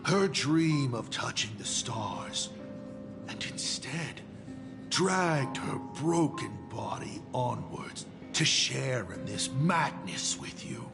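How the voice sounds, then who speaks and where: A man's voice: medium pitch, animated, slow pace, creaky. A man playing a sardonic, grandiose showman villain in a video game, speaking with pompous, mocking drama over music. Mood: bitter, mocking.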